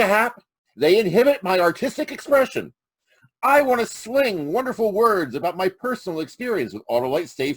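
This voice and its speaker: An actor playing a sulky, melodramatic battery pitchman in a 1940s-style radio comic commercial. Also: breathy edge, no room sound, exaggerated voice. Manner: melodramatic, pompous. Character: sulky, theatrical male battery pitchman, wounded artiste.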